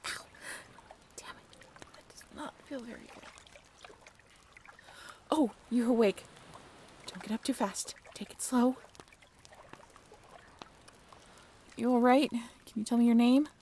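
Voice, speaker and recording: A woman playing a caring, bashfully candid half-mermaid ship crew member in an audio roleplay, speaking straight to the listener in a halting, natural, gently bossy way. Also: on the high side, a little hiss or hum. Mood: concerned, relieved. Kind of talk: audio roleplay drama spoken directly to the listener.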